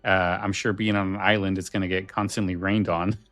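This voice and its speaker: Casual, lowish-voiced male podcast co-host. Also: little pitch movement, a little fast, fairly bright tone, faint music behind. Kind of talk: casual chat.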